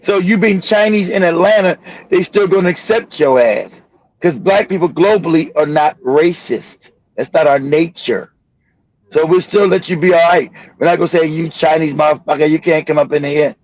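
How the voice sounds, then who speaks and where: A man hosting a livestream, talking in an animated, emphatic way, swearing and repeating himself. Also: on the high side, normal speed, no echo. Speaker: livestream host. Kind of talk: heated livestream commentary. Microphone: streaming mic. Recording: dry, dull lo-fi stream audio.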